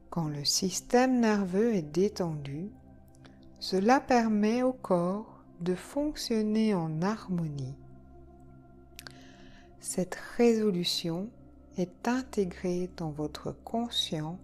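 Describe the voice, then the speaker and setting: A woman leading a guided yoga nidra relaxation, speaking calmly and soothingly in short phrases with long pauses. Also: mid-range voice. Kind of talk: guided relaxation meditation, slow instructions. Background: soft background music.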